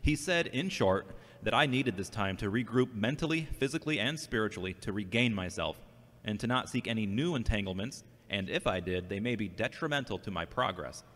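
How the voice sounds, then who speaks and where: A man's voice: on the low side, lively intonation, a little fast, fairly bright tone. An actor playing an earnest, defensive, self-doubting man recovering from a brain injury in a scripted audio drama. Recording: long hall-like reverb.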